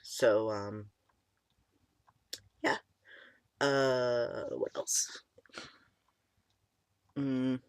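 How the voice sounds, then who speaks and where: A young man in casual chat, his voice cracking and squeaky, deep and stuffy from a cold, rambling with many ums and likes. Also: fairly even intonation, drawn-out words, long pauses, smooth, no echo. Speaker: vlogger. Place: small room indoors.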